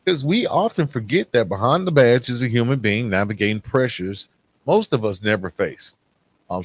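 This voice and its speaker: A man hosting a podcast, speaking in a reflective monologue with a measured, earnest delivery and dramatic pauses. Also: on the low side. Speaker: podcast host.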